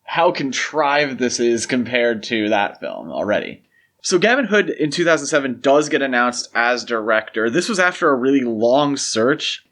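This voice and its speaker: A man's voice: mid-range voice, fairly bright tone. A man hosting a podcast, talking through film history in a casual, conversational style. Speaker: podcast host.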